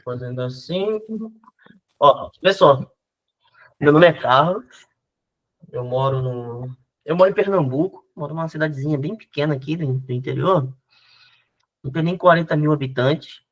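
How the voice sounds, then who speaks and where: A man's voice: a little high, normal speed, pauses often, poor audio. A man from Rio de Janeiro giving an informal history lecture over a video call, chatty, with frequent restarts and tag questions. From Rio de Janeiro, Brazil. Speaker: history teacher giving a talk. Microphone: video call microphone.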